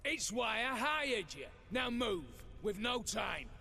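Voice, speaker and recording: A man playing a brisk, impatient, cocky heist ringleader in a video game cutscene, giving curt commands. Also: very high voice, very animated, creaky, sharp tone, slight background noise, full character voice.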